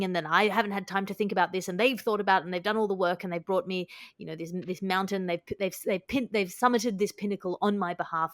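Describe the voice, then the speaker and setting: A woman's voice: medium pitch, no room sound. Wry woman host, dry close sound. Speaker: podcast host. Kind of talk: comic panel chat.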